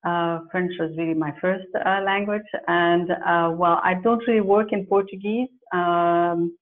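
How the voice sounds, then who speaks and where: A woman's voice: lowish voice, steady tone, measured pace. Dutch-speaking woman on a tinny video call. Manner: thoughtful, many fillers and restarts. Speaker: career and leadership coach. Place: home office with plants and pictures. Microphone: earphone mic on a video call. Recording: phone-like narrow sound.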